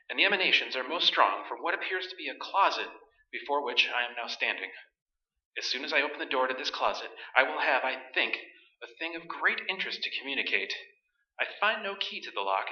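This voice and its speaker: Man acting an intense, formal doctor, sharp voice. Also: medium pitch. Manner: formal, precise, clinical reporting. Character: earnest, formal male doctor investigating the supernatural. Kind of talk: staged radio play acting. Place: large hall stage, staged performance. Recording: echoey stage recording, slightly muffled.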